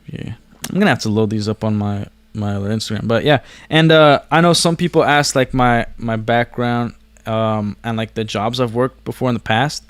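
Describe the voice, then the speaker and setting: A man's voice: mid-range voice, moderate pace, clean tone, no room sound. A man who learned English after other languages, chatting casually to viewers in a slangy, meandering, self-deprecating way. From born abroad, moved to the US as a child. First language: a language other than English. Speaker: vlogger talking to viewers. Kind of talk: casual rambling chat to camera.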